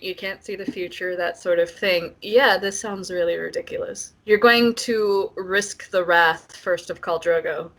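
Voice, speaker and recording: A woman's voice: lowish voice, a bit bright. A woman co-hosting a casual book discussion, talking in an informal, wry way. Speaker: podcast co-host.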